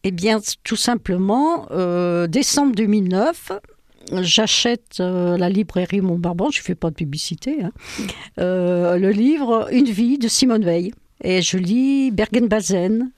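Older woman, halting dry storytelling. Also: medium pitch, expressive, darkish tone, dry recording. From France. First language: Ukrainian. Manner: hesitant, many fillers, storytelling. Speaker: guest. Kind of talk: personal testimony in an interview.

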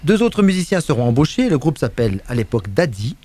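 A man's voice: medium pitch, very animated, fast talker, a little mellow. A man presenting a music radio segment in French, chatting casually with many fillers, restarts and asides to his co-host.

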